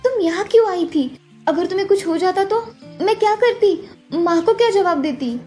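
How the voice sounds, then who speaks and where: A high-pitched young older sister from a cartoon moral tale, acted by a woman. She is sharp-tongued yet protective and speaks with earnest emphasis, with music playing behind her. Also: animated, normal speed. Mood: alarmed, scolding. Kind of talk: Hindi animated moral story dialogue.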